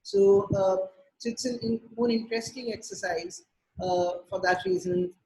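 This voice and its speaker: High-voiced man, thoughtful and hesitant. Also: fairly even intonation, darkish tone, rough recording. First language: Urdu. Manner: measured, many filler pauses. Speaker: translator and poet, interview guest. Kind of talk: thoughtful interview answers. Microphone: video call.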